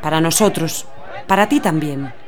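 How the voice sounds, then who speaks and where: A woman's voice: low voice, moderate pace, lightly acted. Woman playing a patient, earnest mother amid a crowd. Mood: earnest, hopeful. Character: patient, idealistic mother explaining things to her daughter. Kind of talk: radio drama dialogue. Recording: busy outdoor street crowd behind.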